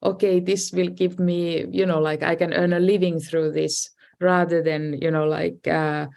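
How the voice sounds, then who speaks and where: A middle-aged Finnish-accented woman speaks a little low for a woman, talking slowly through her story as a guest. She hesitates often and restarts her sentences, filling gaps with "you know, like.". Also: little pitch movement, gravelly, a little mellow. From Finland. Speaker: design professor and dean, guest. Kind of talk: casual interview conversation.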